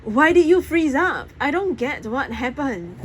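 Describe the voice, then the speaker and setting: A woman's voice: sits high, big pitch swings, a little slow, slight background noise, pitched above the performer's own voice. A woman voicing an earnest, worried teenage sailing skipper in an audiobook, emphatic and sometimes hesitant. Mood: puzzled, concerned. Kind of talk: acted audiobook dialogue.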